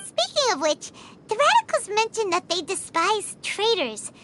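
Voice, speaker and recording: A woman voicing a tiny, excitable floating female companion creature in a video game, bouncy and eager. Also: very high voice, normal speed, very noisy. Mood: earnest. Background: game background music.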